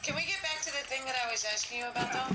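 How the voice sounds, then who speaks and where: A woman's voice: sits high, racing, loud music behind the voice. A woman hosting a casual livestream chat, blunt and dry, with some cursing. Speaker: host.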